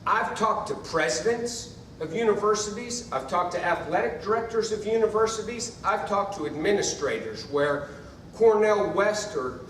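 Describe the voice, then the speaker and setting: A man speaks earnestly as a college football coach on a conference panel, circling back and repeating himself to drive a point home. His voice sits fairly high. The recording is distant and echoey, with faint room noise underneath. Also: lively intonation, moderate pace, a bit bright. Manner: earnest, reflective, repeats phrases for emphasis. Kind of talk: panel discussion answer.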